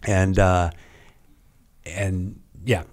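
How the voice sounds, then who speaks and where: A middle-aged man, a guest on a podcast, telling a story in a halting, rambling way that trails off quietly. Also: deep voice, flat delivery. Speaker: podcast guest, retired Navy serviceman. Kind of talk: casual interview storytelling.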